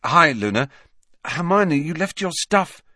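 A man's voice: fairly high pitch, big pitch swings, a little slow, pushed and bright, no echo, clean studio sound, pitched above the performer's own voice, heavily acted. A man playing an awkward, friendly teenage schoolboy in an audiobook, plain-spoken and a little hesitant. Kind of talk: audiobook character voice.

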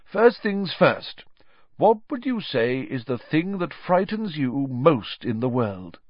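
A man voicing a cheerful, thoughtful teacher of magic in an audiobook, with a deliberate, encouraging manner. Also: fairly high pitch, sing-song, no room sound, dull audio, voice pushed up, exaggerated voice. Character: cheerful, reassuring, thoughtful male schoolteacher of magic. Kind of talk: audiobook character reading.

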